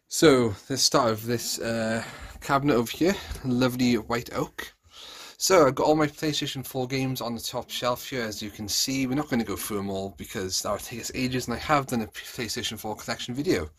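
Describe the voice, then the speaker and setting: A man in his thirties talks casually as he shows viewers around a room at home. His voice sits at an ordinary middle pitch, and he speaks off the cuff, correcting himself as he goes. It is recorded on a handheld phone indoors. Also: moderate pace, a bit bright. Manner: chatty, off-the-cuff, self-correcting. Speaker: vlogger, collector. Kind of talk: casual room tour narration. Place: small rooms at home, indoors. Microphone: handheld phone, held by the speaker.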